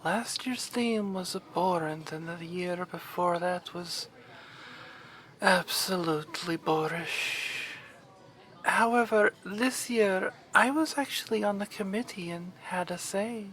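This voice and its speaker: A woman's voice: lowish voice, slow, a bit bright. A woman playing a refined, haughty vampire baroness in an ASMR roleplay, courteous and formal in her wording. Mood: disdainful, refined.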